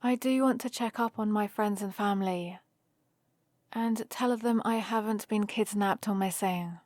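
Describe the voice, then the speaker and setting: A woman's voice: medium pitch, measured pace, darkish tone, close to an everyday voice. A nerdy young adventuring heroine, played by a woman, chats to the listener in an ASMR roleplay. She rambles cheerfully and explains things in a friendly way, taking her time. The sound is dry and free of room echo. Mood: amused, soft.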